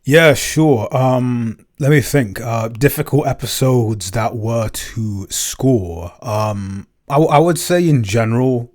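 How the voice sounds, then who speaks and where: A man co-hosting a casual Q&A chat, answering in a measured, thoughtful way with frequent hesitations. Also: lowish voice, darkish tone. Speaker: podcast co-host and composer. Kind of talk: casual behind-the-scenes Q&A chat.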